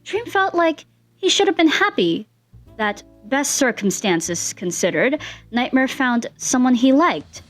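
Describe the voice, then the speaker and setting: A woman reading fan fiction as an expressive scripted story narrator. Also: high voice, measured pace, faint music behind.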